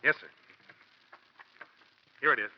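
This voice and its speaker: A man's voice: slow pace, close to an everyday voice. An actor playing a dutiful police subordinate reporting to his superior in a vintage radio crime drama. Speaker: supporting actor in a radio play. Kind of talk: 1940s-50s radio crime drama acting. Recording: dull, band-limited old radio recording.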